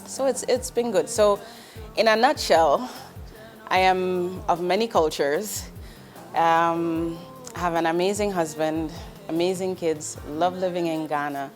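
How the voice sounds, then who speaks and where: A woman's voice: on the low side, measured pace, soft background music. A woman in her forties, from Jamaica, speaking as an investment executive in an interview, earnest and direct, with ums and restarts. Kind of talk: candid interview answers.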